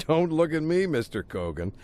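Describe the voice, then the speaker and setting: A man's voice: fairly high pitch, very animated, unhurried, darkish tone, a little hiss or hum. An actor playing a weary, reluctant male investigator in a video game, with a sardonic, laid-back manner.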